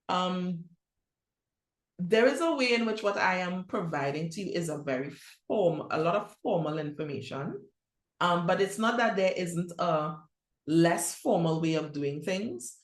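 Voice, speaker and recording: A woman's voice: on the low side, lively intonation, takes it slowly, echoey room. A middle-aged woman with a Trinidadian accent giving a conference presentation on youth ministry, talking in a direct, conversational way. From Trinidad and Tobago. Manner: conversational, direct, with restarts and rhetorical questions.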